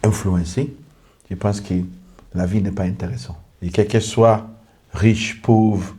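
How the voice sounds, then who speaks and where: Earnest pastor. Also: male voice, on the low side, steady tone, measured pace, mellow. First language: English. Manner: earnest, repetitive, lists in short clauses. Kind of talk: testimony in a podcast conversation.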